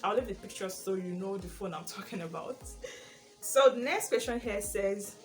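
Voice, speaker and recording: A young Nigerian woman with an Igbo first language, chatting casually through a question tag video in a lively, rambling way. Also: mid-range voice, moderate pace, music in the background. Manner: chatty and animated, with frequent fillers and laughs. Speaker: vlogger.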